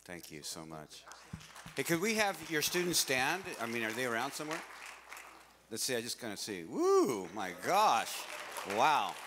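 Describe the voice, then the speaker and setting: A man's voice: mid-range voice, huge pitch swings, moderate pace, crowd noise, background noise. A man hosting a live community gathering, upbeat and laughing often as he calls on speakers. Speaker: event emcee. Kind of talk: live event hosting with audience.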